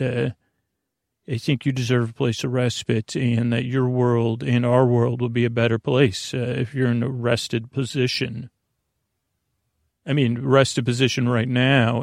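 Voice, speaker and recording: Gravelly, meandering man hosting a bedtime podcast. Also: mid-range voice, darkish tone, no echo, clean studio sound. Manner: meandering, halting, soothing, frequent digressions. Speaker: podcast host. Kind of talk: rambling bedtime podcast monologue.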